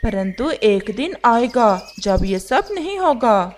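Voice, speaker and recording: A woman voicing a wise, gentle old male pigeon who warns against chasing wealth. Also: on the high side, very animated, takes it slowly, slight background noise. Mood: calm. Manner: gentle, quietly warning.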